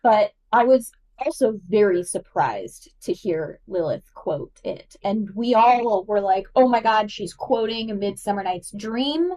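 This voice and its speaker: A woman's voice: medium pitch, expressive, warm tone, recorded from a distance, low-quality recording. A woman co-hosting a podcast as guest, chatting eagerly about theater. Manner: enthusiastic, chatty, quick asides. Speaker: guest host. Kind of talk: casual podcast chat.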